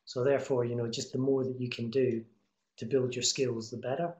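A middle-aged man talks to students over a webcam, casual and encouraging and full of ums and restarts. His pitch stays level and even throughout. The room around him sounds echoey. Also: a little fast. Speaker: guest speaker, TV promo editor. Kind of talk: online talk answering students' questions. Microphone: webcam on video call.

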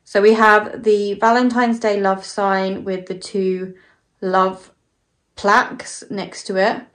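A young British woman talks slowly through a tour of her decorated home, with gentle apologetic asides about the mess. Her voice comes from a bit away from the handheld phone, and it rings in small echoey rooms. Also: medium pitch, level tone, recorded from a distance. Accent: British English. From United Kingdom. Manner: chatty, apologetic asides, frequent "so" and "um". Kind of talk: casual walkthrough narration. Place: small house, indoors, walking room to room. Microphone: handheld phone camera. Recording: roomy sound, voice away from mic.

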